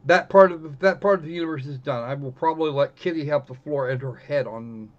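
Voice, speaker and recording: A man's voice: fairly high pitch, fast. A man hosting a live game stream in casual chat, rambling and often correcting himself mid-sentence. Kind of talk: casual livestream chat while gaming. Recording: dull, lo-fi voice recording.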